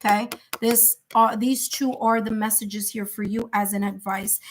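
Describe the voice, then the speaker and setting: A woman's voice: medium pitch, flat delivery, moderate pace, a little hiss or hum. A woman with a New York accent giving live tarot readings in a chatty, reassuring way, thinking aloud with fillers. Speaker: tarot reader.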